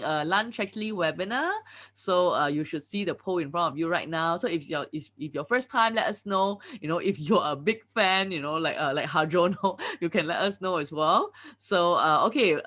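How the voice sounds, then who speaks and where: A woman's voice: lowish voice, a bit bright. A woman hosting a live online webinar in an upbeat, chatty way, full of "you know, like, uh" fillers, over a video call mic. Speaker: webinar host.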